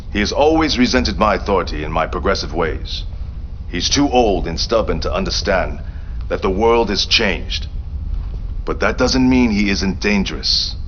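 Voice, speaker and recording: An actor playing a stern, dignified leader who speaks in a measured, formal way in a game cutscene briefing. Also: male voice, sits low, fairly bright tone, muffled recording, slight background noise.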